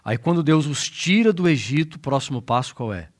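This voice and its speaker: A man with a Brazilian Portuguese accent, a pastor co-hosting a Bible study, retelling scripture with animated storytelling and frequent fillers. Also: on the high side, a little fast. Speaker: pastor and co-host.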